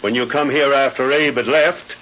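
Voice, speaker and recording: A man acts out a commanding Western sheriff with folksy American diction and a mid-pitched, sharp voice. He is deliberate and shrewd, in old radio drama style. The sound is muffled and aged. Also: steady tone, close to an everyday voice. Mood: shrewd, probing. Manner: deliberate, authoritative.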